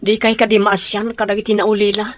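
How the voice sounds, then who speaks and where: An actor playing an earnest, peace-seeking son who pleads and reasons, in an Ilocano Filipino radio drama. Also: male voice, high voice, monotone, brisk, dry recording, lightly acted. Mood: earnest pleading.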